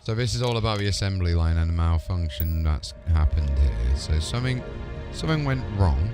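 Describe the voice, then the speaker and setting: A man's voice: sits low, animated, fairly quick. A British man giving casual, chatty gameplay commentary, thinking aloud in an informal way as a streamer. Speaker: video game streamer. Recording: game music behind the voice.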